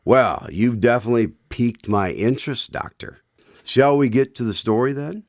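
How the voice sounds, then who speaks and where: A man's voice: mid-range voice, normal speed, no echo, clean studio sound, natural voice. A man playing a genial, curious radio announcer who hosts an old-time style drama in a courteous, theatrical way. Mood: intrigued. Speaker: announcer and host.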